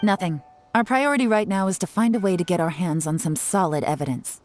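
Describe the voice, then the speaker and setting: Sly female intel agent, low-voiced and unhurried, acted by a woman. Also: soft background music, lightly acted. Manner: calm, measured, quietly confident. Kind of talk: video game voice acting.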